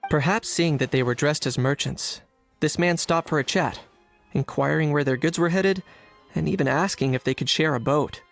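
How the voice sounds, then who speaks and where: Investigator, male: gravelly, inquisitive, calm inner monologue. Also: a little high, moderate pace, faint music behind. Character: shrewd young man investigating smugglers. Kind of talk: game narration and monologue.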